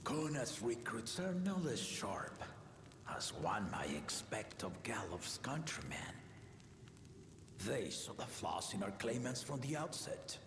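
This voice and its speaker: An actor playing a noble aging warrior king and proud father in a video game, gravelly, with stately, formal, measured speech. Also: medium pitch, very animated, noisy background. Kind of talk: scripted video game acting. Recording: echoing, music behind.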